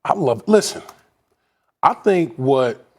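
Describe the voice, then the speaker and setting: A man who is a former player and now an analyst, talking in an excitable, emphatic way in a sports debate. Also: mid-range voice, slow.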